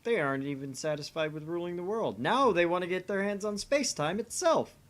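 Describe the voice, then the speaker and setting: A man's voice: sits high, expressive, fairly quick. A man playing a theatrical, pompous young self-styled mad scientist in a visual novel, switching between grand pronouncements and narration. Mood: grandiose. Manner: grandiose declarations, quieter asides as narrator.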